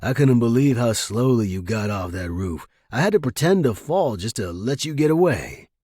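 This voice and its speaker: A man playing a big, gruff ex-military man in an audio story, weary and skeptical but gentle at heart. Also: medium pitch, expressive, moderate pace, no echo, clean studio sound.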